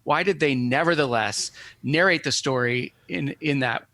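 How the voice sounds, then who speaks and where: A man giving thoughtful scholarly interview answers as an academic guest, speaking in a measured, halting way with formal wording. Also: mid-range voice, bright, forceful tone, dry recording. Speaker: academic guest, media historian.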